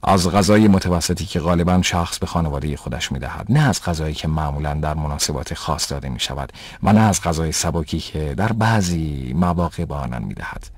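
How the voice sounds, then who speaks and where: A man playing a patient, pious father who explains rules in a measured, didactic way in an educational cartoon. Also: very deep voice, expressive, husky, dry recording, full character voice. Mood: instructive. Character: patient, pious father teaching his son religious rules. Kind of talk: dubbed educational cartoon dialogue.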